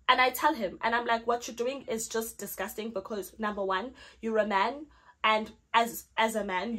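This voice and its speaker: A young South African woman, a Zulu speaker, telling a storytime vlog story and acting out her own lines. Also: fairly high pitch. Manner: animated, acts out her own lines in the retelling. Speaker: vlogger.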